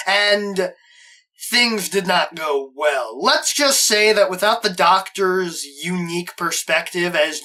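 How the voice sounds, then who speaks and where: A man's voice: a little high, bright, forceful tone, poor audio. A man hosting a scripted review, narrating with a fan's enthusiasm and wry asides. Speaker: host, reviewer.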